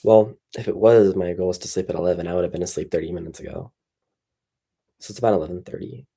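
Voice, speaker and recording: A man's voice: sits low, fast, gravelly, breathy edge. A young man chatting casually on a livestream, tired and rambling with lots of fillers. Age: young adult. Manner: relaxed, rambling, many fillers. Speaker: streamer.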